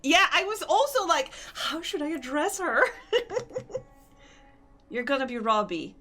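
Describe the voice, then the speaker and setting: Young woman, Polish accent, animated sing-song delivery, crisp bright tone, chatty game streamer. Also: high voice, takes it slowly. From Poland. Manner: chatty, laughs often, reads and answers chat. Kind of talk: casual gaming stream chat.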